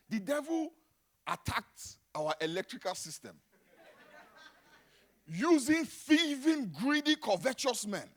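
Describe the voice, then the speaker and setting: A middle-aged Nigerian man preaching a sermon, dropping to a hush and then rising to loud exclamations. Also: extremely high pitch. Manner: dramatic, swings from hushed to shouting. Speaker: pastor.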